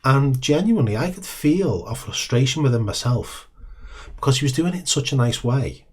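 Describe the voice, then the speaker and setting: A middle-aged British man talks with a rough, croaky, husky voice at an ordinary middle pitch. His telling is relaxed and chatty, full of small ums. He acts out the lines of the people in his story. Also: moderate pace. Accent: British English. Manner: relaxed, with frequent ums and acted-out dialogue. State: hoarse, croaky voice. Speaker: host. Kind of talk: casual storytelling to camera.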